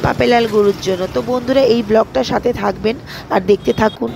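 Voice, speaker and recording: A woman's voice: on the high side, fairly even intonation, quick pace, gravelly. A woman narrating a casual family vlog, chatting and explaining things to viewers. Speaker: vlogger narrating her day. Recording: faint background noise.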